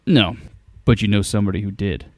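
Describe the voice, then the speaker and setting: An actor playing a determined, clever inventor hero in an audio drama, explaining plans in an earnest, matter-of-fact way. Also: male voice, lowish voice, fairly quick, no echo, studio quality, natural voice. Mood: resolute, commanding. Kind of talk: scripted audio drama acting.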